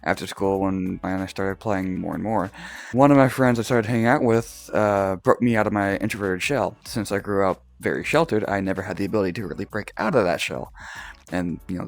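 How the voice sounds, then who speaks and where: Groggy young man, low voice. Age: young adult. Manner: rambling, laughs often, restarts words. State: groggy, just woke up. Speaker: vlogger. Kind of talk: casual personal storytelling.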